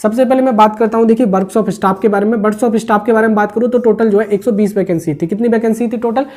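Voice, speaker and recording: A man talks quickly in a soft, mellow tone. He explains things informally like an online coaching host, circling back over each point and checking that listeners follow. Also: sits high, fairly even intonation. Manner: repetitive, with frequent check-in tags. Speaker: exam-update YouTube host. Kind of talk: informal explanatory exam briefing.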